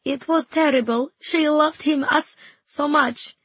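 A woman's voice: sits high, steady tone, unhurried, a bit bright, no echo, voice pushed up. A woman voicing a frightened, grieving young refugee girl in an audiobook, tearful and choked. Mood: tearful grief. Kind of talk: audiobook character dialogue.

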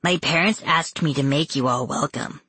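A man's voice: a little high, normal speed, bright, forceful tone, slightly rough, no room sound, exaggerated voice. A man voicing a polite, smug young villain girl with a whispery voice in an audiobook. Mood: sly politeness.

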